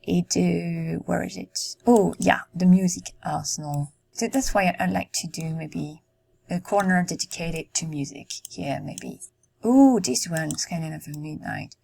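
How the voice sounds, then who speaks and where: Slow husky low-voiced woman. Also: mellow. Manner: musing aloud, halting, frequent ums. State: losing her voice. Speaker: Sims content creator. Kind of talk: casual gameplay commentary while building.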